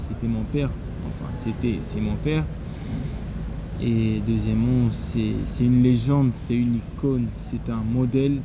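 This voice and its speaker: A man's voice: mid-range voice, steady tone, a little slow. Earnest man with repetitive restarts. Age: 30s. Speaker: guest, son of honored journalist. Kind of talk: interview answers. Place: outdoor stadium. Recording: loud insect noise outdoors.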